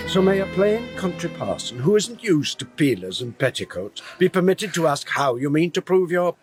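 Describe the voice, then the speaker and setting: A man playing a gruff, fussy older country parson, a sceptical uncle with a wry, old-fashioned manner, in a period radio drama. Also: on the high side, sing-song, moderate pace, music in the background. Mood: disapproving. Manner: grumbling, wry, old-fashioned turns of phrase.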